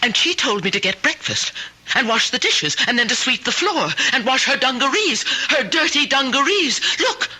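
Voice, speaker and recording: An actress playing a frightened, confused lonely woman in an old-time radio drama, halting and pleading as she repeats herself. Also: sits high, big pitch swings, bright, forceful tone, husky, full character voice, poor audio. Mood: agitated, pleading. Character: frightened, confused, fretful lonely woman insisting on her story.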